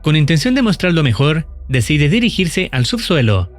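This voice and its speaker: A man's voice: on the high side, sing-song, moderate pace, soft background music. A man narrating a scripted video recap in an explanatory storytelling style. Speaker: narrator of a horror video channel.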